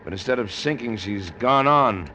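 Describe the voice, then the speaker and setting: Gruff chief keeper, noisy old radio. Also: male voice, mid-range voice, animated, moderate pace, bright, forceful tone. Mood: curt, brisk. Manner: curt, authoritative, sardonic. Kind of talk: 1950s radio drama acting. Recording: noisy vintage radio drama recording.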